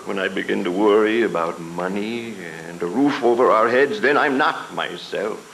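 A solemn, preacherly old father who leans on scripture, as a man acts him out. His voice sits low and grave, and noticeable room noise runs under it in a live hall reading. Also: moderate pace, gravelly, a bit bright. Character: older male pastor father, solemn and pious. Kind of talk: live radio drama reading on stage.

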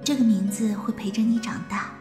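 A woman's voice: mid-range voice, brisk, close to an everyday voice. A woman playing a tender, loving mother in an audio drama. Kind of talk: Chinese audio drama acting. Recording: reverberant flashback effect over music. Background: music.

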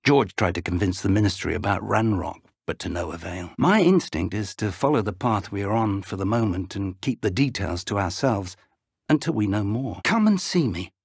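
An actor playing an elderly wizard professor in a video game, an earnest, resolute mentor who speaks formally and urgently in a husky, articulate voice. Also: male voice, on the low side, expressive, moderate pace, no echo, clean studio sound, exaggerated voice. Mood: measured, mentoring. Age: older. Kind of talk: video game character dialogue.

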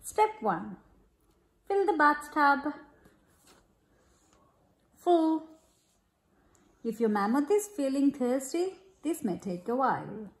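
A woman with a high-pitched voice reads a picture book aloud to a child. She takes it slowly and expressively, checking in with questions. The room around her is echoey. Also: big pitch swings. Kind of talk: storybook read-aloud with chat to a child. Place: room at home, at a glass table.

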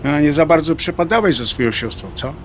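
Casting: anxious middle-aged atheist biker, blunt colloquial audio drama talk, lightish pitch, noisy vehicle backdrop. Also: male voice, animated, a little fast. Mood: blunt, irritated. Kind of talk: dialogue acting in an audio drama.

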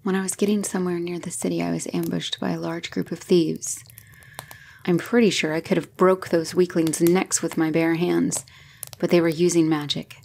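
Woman acting a breathy, creaky-voiced female knight. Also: fairly low pitch, little pitch movement, moderate pace, slight background noise. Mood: confiding, sober. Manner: breathy, hushed, emotional. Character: battle-hardened female knight, tender and devoted to her lover. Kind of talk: intimate ASMR romance roleplay.

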